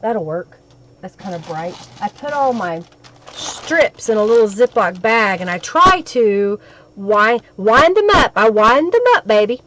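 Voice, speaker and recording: A woman with a crackly, hoarse voice from a cold, hosting a crafting live stream and chatting with viewers as she works. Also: mid-range voice, lively intonation, a little slow, fairly bright tone.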